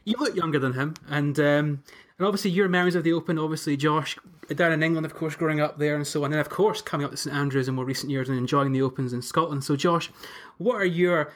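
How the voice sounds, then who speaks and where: Wordy male podcast host, slightly high. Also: fairly even intonation, quick pace. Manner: wordy, leading questions with gentle teasing. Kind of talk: hosting a podcast discussion.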